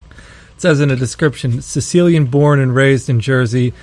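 A man's voice: mid-range voice, moderate pace, crowd noise. A young adult man co-hosting a casual podcast, telling a story in a rambling way and laughing as he goes. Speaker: podcast co-host. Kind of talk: casual banter.

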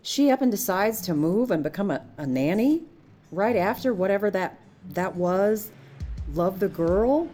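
A woman's voice: medium pitch, animated, unhurried, warm tone. Sarcastic young party girl, blunt and teasing, voice swings around a lot, faint music under it. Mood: skeptical. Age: young adult. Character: cynical, blunt young woman, party-loving smoker. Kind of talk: naturalistic audio drama acting.

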